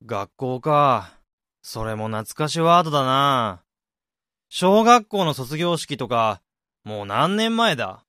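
A man's voice: medium pitch, sing-song, slow pace, sharp tone, clear, resonant tone, no room sound, studio quality, heavily acted. Wistful, blunt man in a radio drama, acted by a man. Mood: wry, nostalgic. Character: wistful man unsure whether he became an ideal adult. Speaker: radio drama lead and narrator. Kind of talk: amateur radio drama dialogue and reflective narration.

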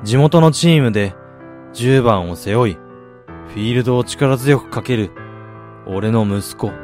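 A man acts out a broken father in a radio play, with a medium-pitched voice. He sounds heavy and inward-looking, weighed down by regret, while faint music plays behind him. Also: darkish tone, close to an everyday voice. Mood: reflective. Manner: halting, heavy, introspective. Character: remorseful, broken middle-aged father narrating his story. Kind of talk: radio drama narration and acting.

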